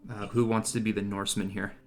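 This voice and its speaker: A man's voice: fairly low pitch, flat delivery, fast talker, soft background music. A man reading stage directions aloud in a casual, jokey, theatrical table read. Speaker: podcast co-host reading a script's narration.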